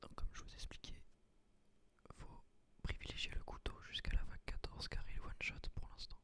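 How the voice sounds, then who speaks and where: A man whispering ASMR gameplay commentary in a breathy voice, explaining things casually with slang. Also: a little fast, sharp tone. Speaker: gaming video creator.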